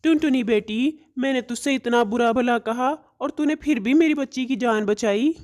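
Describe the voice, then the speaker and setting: A proud, protective father bird from a cartoon tale, acted by a woman. The voice sits high for its kind yet has a soft, mellow tone. The delivery is emphatic, like a parent laying down the law or fussing over a child. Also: moderate pace, close to an everyday voice. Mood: remorseful. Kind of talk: Hindi cartoon story dialogue.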